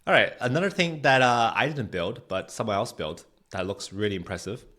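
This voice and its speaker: A man hosting a software tutorial, explaining things in a conversational way as he walks through a screen demo. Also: fairly low pitch, brisk. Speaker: host, product manager giving a tutorial. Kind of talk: tutorial narration with screen walkthrough.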